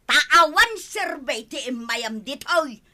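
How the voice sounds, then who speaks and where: An actress plays a haughty village woman in an Ilocano comedy drama. Her voice is high and very sharp, swinging widely as she snaps and dismisses people, though her words come unhurried. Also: animated, exaggerated voice. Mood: dismissive, annoyed. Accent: Filipino, Ilocano. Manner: dismissive, snappish, whining outbursts. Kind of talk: broad comedy drama acting.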